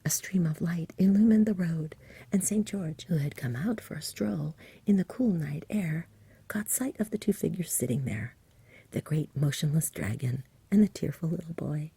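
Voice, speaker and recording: A woman narrates a bedtime story in soft ASMR style, gentle and soothing. Her voice is dark, husky and creaky, close to a whisper, with an unhurried delivery. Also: deep voice, no room sound. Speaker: ASMR reader and host.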